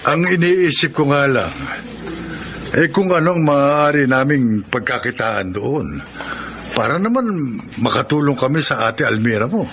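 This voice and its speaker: Bright-voiced, concerned father. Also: mid-range voice, animated, measured pace, a little hiss or hum. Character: mild, concerned middle-aged father. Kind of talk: Filipino radio drama acting.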